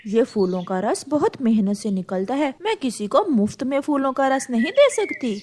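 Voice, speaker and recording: A woman's voice: a little high, very animated, normal speed, darkish tone, slight background noise. A woman voicing a stingy, self-satisfied female bulbul in a children's cartoon, with a dismissive manner. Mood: dismissive, smug.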